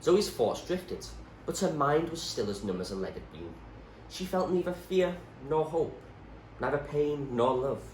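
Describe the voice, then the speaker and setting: A man's voice: a little high, animated, unhurried, few pauses, a little mellow. A man reading a story aloud as narrator, with a dramatic, emphatic delivery. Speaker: teacher reading a novel aloud. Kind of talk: scripted story narration, read expressively. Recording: roomy echo, faint background noise.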